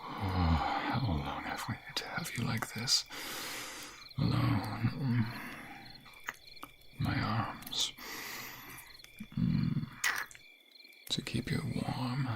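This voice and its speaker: A man's voice: medium pitch, animated, extremely slow, vocal fry, long pauses, heavily acted. A man playing an affectionate, flirtatious fantasy king in an intimate ASMR roleplay, murmuring tenderly and often slipping into whispers to a secret lover. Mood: tender, hushed.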